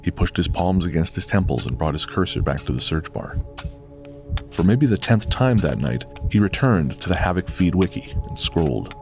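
A man's voice: sits low, expressive, moderate pace, darkish tone. A man reading scripted narration for a horror audio drama. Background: faint music.

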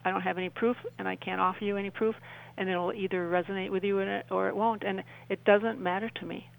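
A woman's voice: mid-range voice, fairly even intonation, fast talker, creaky, low-quality recording. A woman speaking earnestly and emphatically as a guest in a radio interview, often repeating phrases.

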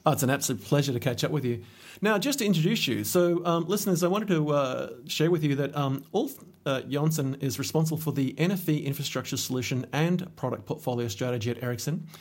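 A man's voice: fairly high pitch, expressive, quick pace, darkish tone. A man hosting an interview podcast, chatty and enthusiastic, with plenty of fillers as he introduces and questions his guest. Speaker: podcast host. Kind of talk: casual interview chat.

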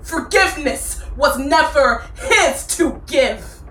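Slow, high-voiced defiant woman of reckoning. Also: animated, a bit bright, heavily acted. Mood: accusing, solemn. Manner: declamatory, deliberate, incantatory. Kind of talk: theatrical poetic monologue. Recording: roomy, distant microphone, faint noise.

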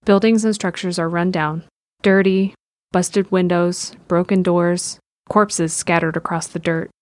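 A woman's voice: fairly low pitch, steady tone, measured pace, no echo. A woman reading scripted narration for an audio drama as its narrator. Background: music.

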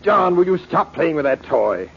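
An actor playing a bitter, commanding rich uncle in an old-time radio drama, with cold sardonic delivery. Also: male voice, fairly high pitch, sing-song, moderate pace, husky, full character voice. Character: rich, bitter, imperious uncle taunting his heirs. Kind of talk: 1940s radio acting. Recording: muffled, hissy old radio recording.

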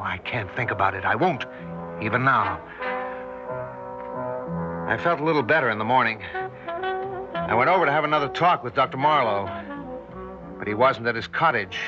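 An actor playing a shaken, anxious scientist recounting a horror in urgent, halting 1960s radio drama style. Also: male voice, medium pitch, close to an everyday voice. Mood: haunted, recounting. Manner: urgent, haunted, halting delivery. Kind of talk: 1960s radio drama acting, narration and dialogue. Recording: dull vintage 1960s radio broadcast.

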